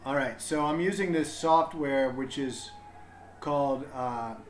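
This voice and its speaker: A man's voice: mid-range voice, unhurried. A man chatting casually and hesitantly as he hosts a livestream, thinking aloud as he goes. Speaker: parent hosting a livestream storybook reading. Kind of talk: casual livestream setup chat. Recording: echoey room with background noise.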